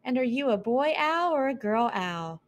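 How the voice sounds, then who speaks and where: A woman's voice: on the high side, sing-song, pitched above the performer's own voice. A woman voicing a curious, chatty little girl mouse ballerina who asks question after question. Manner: quick, inquisitive questioning.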